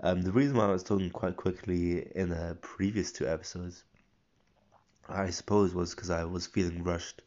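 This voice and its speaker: A young man rambling casually about his day, self-correcting often, his voice croaky from a cold. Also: deep voice, fairly even intonation, moderate pace, a little mellow. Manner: rambling, self-correcting, frequent ums and restarts. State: croaky voice from a cold, coughing and clearing throat. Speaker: vlogger. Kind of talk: casual personal audio diary. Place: at home. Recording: dull lo-fi recording.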